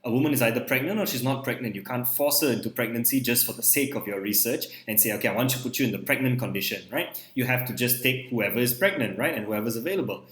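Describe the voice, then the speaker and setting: Young Malaysian male teacher, native Malay, mid-pitched lecture voice, echoey room. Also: fairly bright tone. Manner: ends points with a questioning "right?". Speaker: psychology teacher. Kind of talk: recorded lecture over slides.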